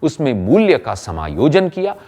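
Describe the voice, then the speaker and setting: A man's voice: mid-range voice, huge pitch swings, moderate pace, dark tone. A man narrating a training film in a scripted, explanatory style, emphatic and fond of rhetorical questions.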